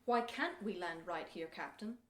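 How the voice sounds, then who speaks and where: A woman's voice: on the low side, expressive, moderate pace, lightly acted. Woman playing an earnest, plain-spoken Pilgrim man, echoey. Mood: worried. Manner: earnest, rustic archaic speech. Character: plain-spoken, dutiful male Pilgrim passenger, aggrieved but law-abiding. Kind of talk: amateur audio theater historical drama acting. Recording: echoey room.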